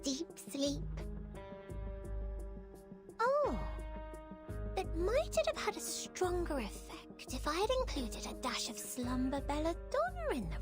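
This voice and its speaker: A dreamy little potion maker, with a very high, lilting voice. She savours her words with eager, sing-song whimsy, as in a fantasy game, with music playing behind her. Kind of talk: video game character acting.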